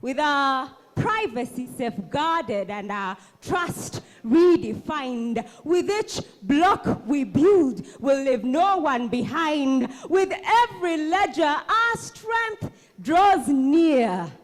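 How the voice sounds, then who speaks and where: A woman delivers theatrical spoken word in a very high, swooping voice. She goes extremely slowly, declaiming each phrase with passion and leaving dramatic pauses. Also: sing-song.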